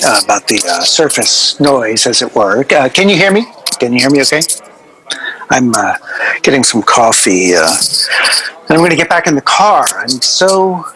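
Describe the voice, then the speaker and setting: An actor playing a rambling, self-absorbed professor in a radio drama interview, hesitant and fussy, drifting into digressions. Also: male voice, mid-range voice, fairly bright tone, natural voice, low-quality recording. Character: rambling, self-absorbed male academic record-label curator.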